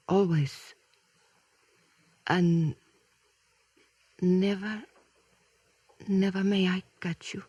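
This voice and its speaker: Slow, husky, lovelorn young Native woman. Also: mid-range voice, darkish tone, no room sound. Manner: halting, simplified English delivered slowly. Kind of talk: 1950s radio drama acting.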